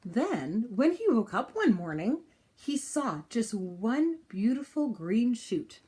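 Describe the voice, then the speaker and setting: A woman reading a children's picture book aloud in an expressive style, with deliberate, dramatic phrasing and pauses. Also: on the high side. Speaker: storybook reader.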